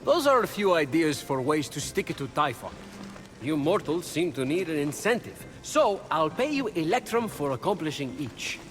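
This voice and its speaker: Glib cheeky game trickster god, high lively voice, wry sales patter, music going behind. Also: male voice, moderate pace. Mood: salesman-like, cheeky.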